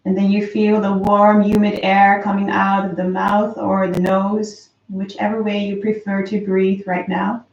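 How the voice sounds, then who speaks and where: A woman slowly and softly guiding a breathing meditation, calm with long pauses. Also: medium pitch. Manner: slow, soft, calm guidance with long pauses. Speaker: meditation teacher. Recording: roomy, distant, dull lo-fi sound.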